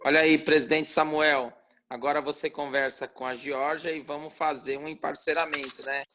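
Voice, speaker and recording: A Brazilian club member asks a guest a few questions during a meeting. His voice is a little high, and he speaks haltingly, often stopping and starting over. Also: little pitch movement, pushed and bright. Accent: Brazilian Portuguese. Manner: halting, slow with restarts. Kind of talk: casual meeting talk.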